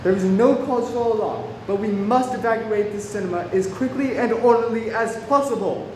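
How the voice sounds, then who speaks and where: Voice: mid-range voice, normal speed, lightly acted. An actor playing an earnest, anxious Scotland Yard agent in a radio play. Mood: alarmed, pleading. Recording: very echoey room, clearly noisy.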